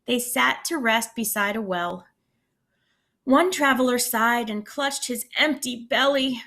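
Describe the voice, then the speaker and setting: A teacher reading a picture book aloud to young children, with expressive voices and little asides to the class. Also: female voice, on the high side. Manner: animated, pausing to comment to children. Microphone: screencast computer mic.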